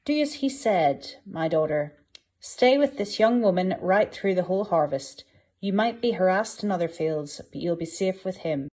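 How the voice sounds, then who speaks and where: A woman's voice: lowish voice, lively intonation, normal speed, a little mellow. A woman playing a caring, protective older mother-in-law in a dramatised Bible reading. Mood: reassuring.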